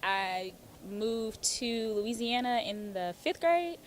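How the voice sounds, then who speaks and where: A woman's voice: fairly high pitch, lively intonation, slow pace, a little hiss or hum. A woman from Houston, chatting as an interview guest with lots of "um" and "like". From Houston, Texas. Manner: chatty, uses many fillers like "um" and "like". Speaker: guest, attorney and author. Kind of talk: casual interview answers.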